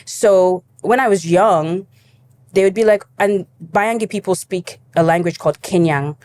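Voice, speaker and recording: A young adult woman from Cameroon, a guest in an interview, telling her story in a lively casual way and acting out her younger self. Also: fairly low pitch, measured pace, slight background noise. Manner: animated storytelling, acts out her younger self, laughs easily.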